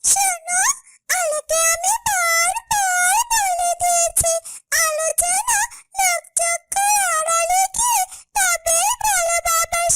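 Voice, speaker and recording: Tiny watchful cartoon guardian, extremely squeaky, slow, soothing, music underneath. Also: female voice. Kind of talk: dramatic fairytale cartoon dubbing.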